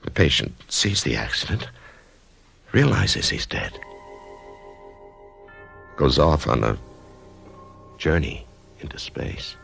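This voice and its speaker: An actor playing a dazed male writer who narrates and wakes from surgery, in radio drama style, with a hushed, halting voice. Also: extremely low pitch, very animated, soft background music, heavily acted. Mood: weak, wondering. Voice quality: gravelly, hushed. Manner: halting, stammering when shaken. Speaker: narrator and lead character.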